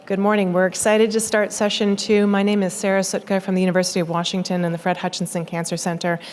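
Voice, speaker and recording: A woman's voice: mid-range voice, level tone, fast, a little mellow. A woman introducing a conference session in an upbeat, enthusiastic way. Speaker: session moderator. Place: conference hall.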